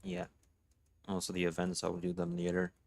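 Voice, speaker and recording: A man's voice: low voice, big pitch swings, brisk, vocal fry, soft background music. A young man giving casual live reaction commentary on a game, rambling and offhand as a streamer.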